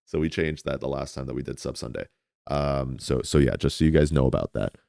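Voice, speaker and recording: Young guy streamer, native spanish speaker, really deep voice, dry close sound, rambles and stumbles over words chatting. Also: fairly quick, vocal fry, dark tone, dry recording. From United States. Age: 20s. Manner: rambling, often stammers and repeats words. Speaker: livestreamer. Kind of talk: casual chat with stream viewers.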